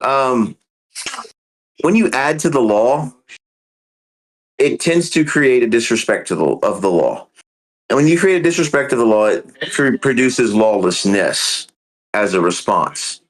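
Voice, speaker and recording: A man hosts a casual podcast talk and works through a theological idea point by point. He speaks slowly, pausing often on ums, and his voice sits at an ordinary middle pitch. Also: animated, rough recording. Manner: earnest, explains step by step with many ums. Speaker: podcast host.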